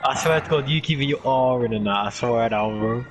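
A man's voice: mid-range voice, a little fast, lo-fi sound, very noisy. A man joking around and swearing with a friend while gaming. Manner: swears, says "swear down" often. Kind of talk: casual banter while gaming. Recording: music playing behind.

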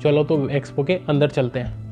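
A man's voice: a little high, fairly even intonation, moderate pace, warm tone, soft background music. A young man chatting casually in a travel vlog, rambling with loose fillers as he walks viewers around. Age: young adult. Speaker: vlogger. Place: small room in front of a curtain, then walking through an expo. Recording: tinny phone-like sound.

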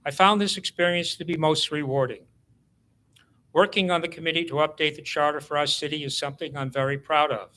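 A man's voice: fairly high pitch, fairly even intonation, brisk, pushed and bright. An older man delivering a prepared campaign speech as a candidate for city council.

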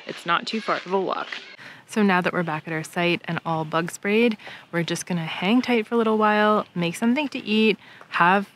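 A woman's voice: mid-range voice, moderate pace, a bit bright, slight background noise. A woman, giving casual travel vlog narration. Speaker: travel vlogger.

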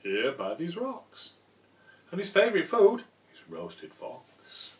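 A man's voice: high voice, wildly swooping pitch, takes it slowly, far from the mic, pitched above the performer's own voice, full character voice. A man voicing a small, polite storybook mouse who boasts and teases with emphatic delivery. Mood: ominous boasting.

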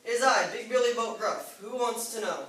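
A woman's voice: a little high, expressive, moderate pace, fairly bright tone. A woman playing a big, bold, protective billy goat brother in a children's fairy-tale play. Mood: bold, challenging. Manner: firm, challenging. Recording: echoey noisy room, far from mic.

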